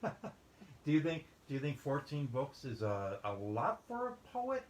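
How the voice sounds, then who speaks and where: A man's voice: fairly high pitch, wildly swooping pitch, unhurried. A chatty male host who rambles through his questions with plenty of ums. He is recorded in a roomy space with a faint hum behind him. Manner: rambling, filler-heavy, chatty. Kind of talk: casual interview.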